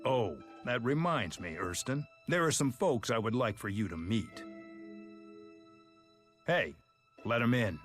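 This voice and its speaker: An actor playing a sardonic, mock-polite police detective in a video game, smug and theatrical. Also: male voice, medium pitch, expressive, measured pace, pauses often, soft background music, natural voice. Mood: smug, taunting. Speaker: detective character. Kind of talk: English video game dub acting.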